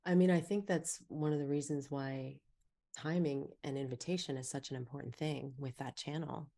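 A woman's voice: sits low, fairly even intonation, normal speed, dark tone. A woman talking as a podcast guest in a casual, reflective conversational style. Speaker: podcast guest, Human Design teacher.

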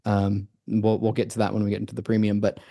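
A man's voice: fairly low pitch, completely flat delivery, fast talker, gravelly, warm tone. A man co-hosting a casual sports podcast, talking loosely with lots of fillers and restarts. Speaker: podcast co-host. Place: remote recording, separate from co-host.

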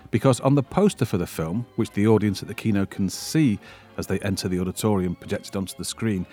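A man's voice: on the low side, a little mellow. A man hosting a podcast and film event with wry, self-deprecating humour. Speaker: podcast host.

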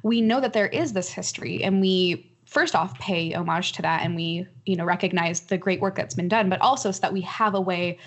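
A woman's voice: lowish voice, a little fast, few pauses. A woman making her case earnestly and articulately in a critical panel discussion. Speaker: roundtable panelist.

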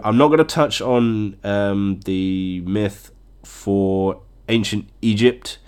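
A man's voice: medium pitch, a little mellow. A man hosting a casual podcast with a blocked nose from a cold, chatty and joking, chuckling often. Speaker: podcast host. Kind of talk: casual solo podcast chat.

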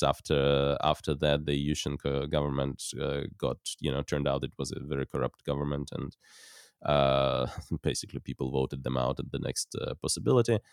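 Very deep-voiced man interviewing thoughtfully. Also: smooth, no echo, studio quality. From Ukraine. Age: 40s. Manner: thoughtful, searching for words. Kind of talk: conversational interview.

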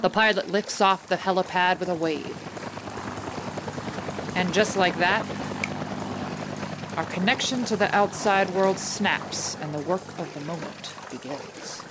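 A woman playing a brisk, composed production manager who narrates a mystery radio play in a controlled, noir storyteller's way. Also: fairly low pitch, close to an everyday voice. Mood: suspenseful narration. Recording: music bed behind the voice.

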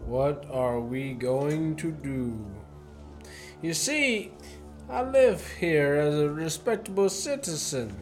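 A man acting in a student radio play as a courteous, menacing gentleman professor who is secretly a spy chief. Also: on the high side, lively intonation, slow pace. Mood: smoothly menacing. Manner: courteous, genteel, theatrically menacing.

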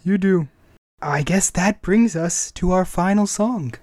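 A man's voice: sits high, measured pace, a little mellow, no room sound, clean studio sound. A man hosting a casual podcast, chatting informally and a little hesitant when he improvises. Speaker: podcast host.

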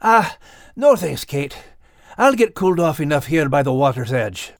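Man playing a hearty, gruff Scottish dog. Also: a little high, big pitch swings, moderate pace, no echo, clean studio sound. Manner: hearty, growling under his breath. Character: plucky male Scottish dog, gruff, teasing and kindly.

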